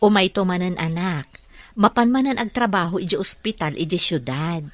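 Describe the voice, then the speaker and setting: A woman's voice: fairly low pitch, lively intonation, normal speed, no room sound, natural voice. An actress with an Ilocano accent playing a caring, respectful nurse and mother in a radio drama. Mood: tender.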